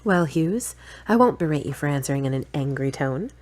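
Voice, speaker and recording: A woman playing a level-headed, gently teasing girlfriend in an audio drama. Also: deep voice, lively intonation, brisk. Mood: calm, probing.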